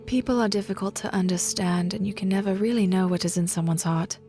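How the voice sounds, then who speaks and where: A soft-hearted travelling bard in an audio drama, played by a woman. She speaks at a middle pitch, gentle and reassuring with a practical streak. Music sits faintly behind her. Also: steady tone, fast, natural voice. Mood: consoling, sad.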